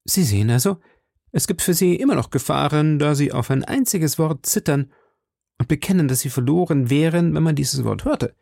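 An eager young gallant in his teens, voiced by the narrator, lifted in pitch and persistent. He speaks in formal, ardent storybook dialogue with a husky edge. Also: expressive, moderate pace, mellow, no echo, studio quality. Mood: probing. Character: ardent, insistent young gallant man, not yet twenty. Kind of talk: audiobook character dialogue.

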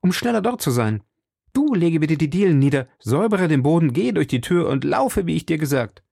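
A man's voice: lowish voice, very animated, normal speed, vocal fry, darkish tone, husky, no room sound, clean studio sound, exaggerated voice. A man voicing a bold, hot-headed young male swordsman, impulsive and commanding. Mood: urgent.

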